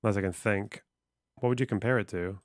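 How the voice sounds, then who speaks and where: A man's voice: fairly low pitch, a little fast, no room sound, studio quality. A man streaming, reading text aloud and musing on it casually, swearing offhandedly. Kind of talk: casual livestream commentary.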